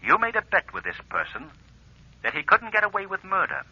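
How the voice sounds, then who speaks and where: Menacing crime fighter, 1940s radio mystery, very sharp forceful voice, animated pitch, dull old recording. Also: male voice, fairly quick, slightly rough, dry recording, exaggerated voice. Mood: stern, accusing.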